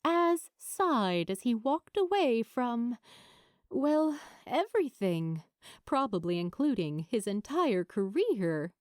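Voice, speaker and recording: A woman narrates a story in a fairly high voice. Her reading is unhurried and deliberate, with lively rises and falls that give it a dramatic feel. The sound is close and dry. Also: no echo. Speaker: audiobook narrator. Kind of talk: expressive scripted fiction narration.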